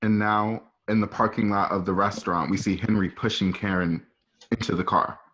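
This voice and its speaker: A man's voice: lowish voice, little pitch movement, moderate pace, fairly bright tone. A man narrating scene directions in a dramatic scripted reading. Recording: dull lo-fi home call audio.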